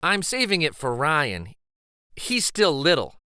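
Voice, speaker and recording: A man's voice: fairly high pitch, huge pitch swings, unhurried, pushed and bright, clear, resonant tone, dry recording, studio quality, pitched above the performer's own voice, heavily acted. A man voicing a guarded but encouraging young girl in a picture book, speaking in earnest, simple phrases. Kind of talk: expressive children's read-aloud character voice.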